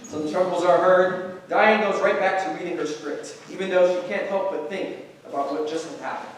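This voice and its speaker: A man's voice: high-pitched, fairly even intonation, moderate pace, a little hiss or hum. A man reading stage directions as scripted narration at a staged reading, recorded in an echoey hall. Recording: echoey hall, distant mic.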